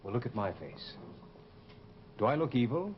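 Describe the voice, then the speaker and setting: Vintage radio horror lead, male actor: smooth, self-regarding murderer, imperious and formal, lively inflection, muffled noisy recording. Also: mid-range voice, moderate pace, close to an everyday voice. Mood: suave, vain. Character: suave, vain, menacing fugitive killer posing as traveler. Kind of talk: 1940s radio drama acting.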